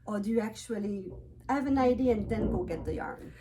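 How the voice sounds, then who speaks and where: A middle-aged woman hosting a knitting podcast, asking questions and encouraging her guest with quick affirmations. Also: mid-range voice, warm tone, slight background noise. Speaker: podcast host. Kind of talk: casual interview chat.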